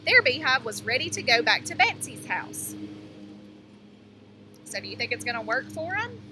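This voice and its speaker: A woman teacher reading a picture book aloud to young children, pausing to explain things and ask them questions. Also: fairly high pitch, big pitch swings, background noise.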